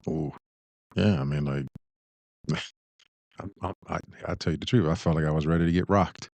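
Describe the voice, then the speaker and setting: A man with a deep voice, co-hosting a casual advice discussion in a reflective way, often posing rhetorical questions. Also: moderate pace, a little mellow, dry recording. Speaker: podcast co-host.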